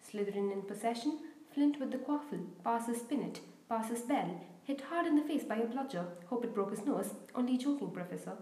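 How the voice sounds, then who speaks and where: A cheeky young sports commentator calling a match in a loud, excited rush, voiced by a woman reading a storybook. The voice sits fairly high and mellow. A roomy echo hangs around every call. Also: moderate pace, hardly any pauses, lightly acted.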